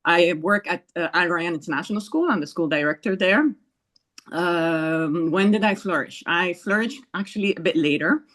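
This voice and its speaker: A woman's voice: fairly low pitch, moderate pace, a bit bright. A woman from Ghana, a school director, introducing herself on a video call in an earnest, reflective way. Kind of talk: panel self-introduction.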